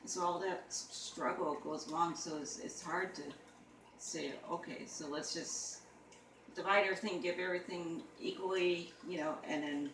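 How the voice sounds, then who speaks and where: A woman talking casually and haltingly, with many "you know" fillers. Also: lowish voice, steady tone. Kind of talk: casual conversation. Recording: echoey room, mic far off, noisy.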